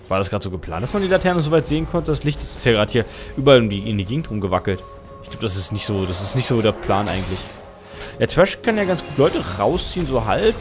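Young german guy casting a game at his desk, rambly, music playing under him. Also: mid-range voice. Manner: chatty, self-correcting, thinks aloud. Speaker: game caster. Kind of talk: casual game commentary.

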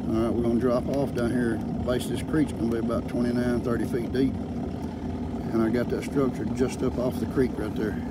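A man in his sixties with a Southern US accent, explaining fishing in a casual, folksy, unhurried way as a vlogger. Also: mid-range voice, little pitch movement. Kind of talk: casual outdoor vlog talk and explanation. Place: open fishing boat on a lake, partly in a vehicle. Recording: loud boat motor and outdoor noise.

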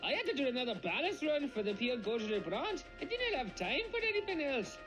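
A woman's voice: high-pitched, very animated, a little fast, music in the background, rough recording. A woman voicing a forthright male steam engine in a cartoon, scornful of bullies.

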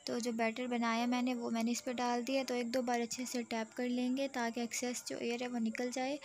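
A woman hosting her cooking channel, narrating a home recipe step by step to viewers in a steady, explanatory way. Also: on the high side. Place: home kitchen.

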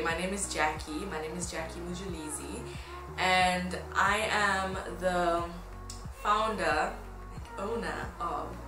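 Low-pitched young woman, roomy vlog sound. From Tanzania. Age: 20s. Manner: upbeat, informal. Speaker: fashion blogger. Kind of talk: casual chat to camera. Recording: roomy echo, music behind. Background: music.

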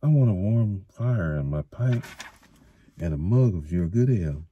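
A man voicing a calm, steady middle-aged farmer in a storybook reading, speaking briskly. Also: low-pitched, highs cut off, slight background noise, voice pushed up. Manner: brisk, level.

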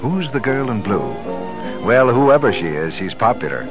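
A man's voice: medium pitch, big pitch swings, normal speed, music in the background. A man announcing on 1930s radio, reading in a formal, polished sales style. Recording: dull 1930s radio broadcast.

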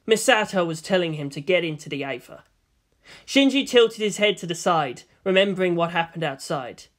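A narrator reading fiction aloud as a dramatic scripted narration, with a medium-pitched voice. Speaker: story narrator.

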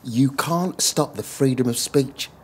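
A man playing an earnest, muddled character being told off in a comedy soap, with a husky, breathy voice. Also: medium pitch, a little slow, a little hiss or hum, close to an everyday voice. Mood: defensive.

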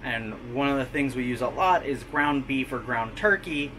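Bright-voiced man vlogging to camera. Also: mid-range voice, moderate pace, a little hiss or hum, poor audio. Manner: chatty, easygoing, talks straight to camera. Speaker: homestead vlogger. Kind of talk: casual vlog narration. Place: outdoors on rural land, then inside a camper. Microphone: camera held at arm's length.

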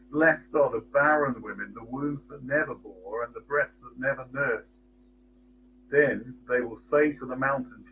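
A middle-aged man reading a Bible passage and giving a church reflection, in a measured, deliberate way, lifting his voice on the quoted words, recorded at close range on a webcam in a small room. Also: mid-range voice. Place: small indoor room with shelves. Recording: steady electrical hum behind the voice.

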